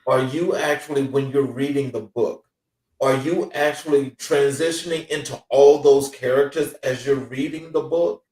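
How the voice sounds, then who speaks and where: A man's voice: medium pitch, steady tone, normal speed, a little mellow. Man's voice, distant in a roomy space. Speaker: podcast host. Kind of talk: interview questions.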